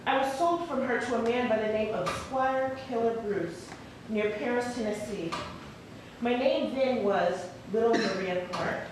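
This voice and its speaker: A woman's voice: on the high side, measured pace, close to an everyday voice. A woman performing a dramatic reading of a historical ad as a formal freed mother seeking her daughter. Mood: formal, hopeful. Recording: distant echoey hall, clear noise.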